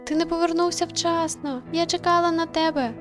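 A woman's voice: very high-pitched, steady tone, brisk, soft background music, pitched above the performer's own voice. A woman voicing the ghost of a young girl, a tender, sorrowful daughter speaking plaintively in a visual novel. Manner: plaintive, earnest. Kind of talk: visual novel read-aloud.

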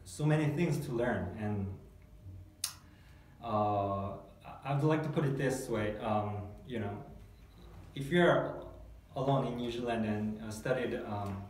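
A man's voice: medium pitch, a little slow, darkish tone. A Korean man in his thirties, a guest in a testimonial interview, speaking English as a second language and hesitating often, with many fillers and restarts. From South Korea. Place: small dark meeting room, seated at a table. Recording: echoey room, mic far off, faint hiss.

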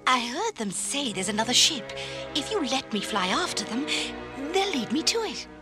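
A woman's voice: high-pitched, very animated, moderate pace, music in the background. A woman playing an evil, scheming witch with a theatrical, wheedling manner in a children's storybook recording. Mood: pleading, wheedling. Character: evil, scheming witch posing as sweet enchantress. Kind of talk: dramatised children's read-along storybook.

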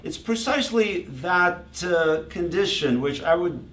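A middle-aged man from Russia speaks as an author at a book reading, addressing a small audience informally with deliberate, halting phrasing and emphatic repetition. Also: sits high, expressive, a bit bright. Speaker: author presenting his book. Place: small library meeting room, indoors. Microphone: distant camera microphone. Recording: roomy, distant microphone with background noise.

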